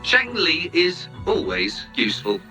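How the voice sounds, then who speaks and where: A man's voice: on the high side, huge pitch swings, unhurried, sharp tone, soft background music, heavily acted, rough recording. A man voicing an earnest, literal-minded helper robot in a video game, announcing things formally like a computer. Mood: matter-of-fact.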